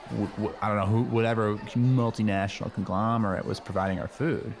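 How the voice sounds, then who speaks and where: A man playing an earnest, know-it-all high school boy in an improvised comedy radio play, rambling casually with lots of slang. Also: level tone, brisk, natural voice. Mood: mildly exasperated. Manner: rambling, hesitant, peppered with "like" and slang.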